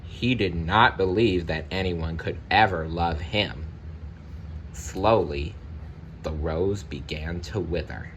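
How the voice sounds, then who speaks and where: A man reading a children's story aloud, with expressive, deliberate narration and the odd personal aside. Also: deep voice, a little hiss or hum. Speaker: storybook reader.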